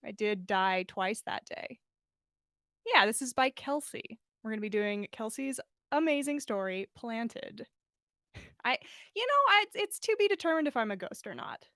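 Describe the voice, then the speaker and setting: A woman's voice: on the high side, lively intonation, moderate pace, sharp tone, dry recording, studio quality. A woman hosting a livestream, chatting casually and reading fan fiction aloud in a bubbly, self-deprecating way. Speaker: podcast host and story reader.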